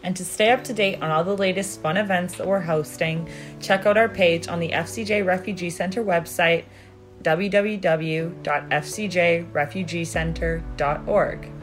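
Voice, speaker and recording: Low-pitched woman reading a podcast outro. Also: fairly even intonation, moderate pace. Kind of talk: scripted promotional read. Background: faint guitar music.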